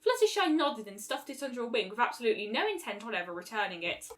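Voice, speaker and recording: A woman reads fan fiction aloud in a high, bright voice. Her narration is scripted storytelling, with a very animated melody that moves freely up and down. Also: quick pace.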